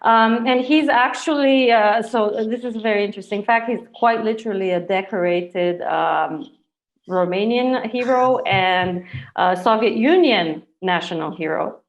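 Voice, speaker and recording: A woman's voice: medium pitch, unhurried. A woman hosting an informal podcast interview, speaking in an enthusiastic, effusive manner. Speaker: podcast host.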